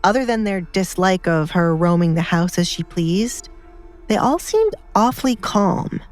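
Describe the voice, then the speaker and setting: A woman's voice: fairly low pitch, expressive, unhurried. A woman reading scripted story narration as an audiobook narrator. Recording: music behind the voice.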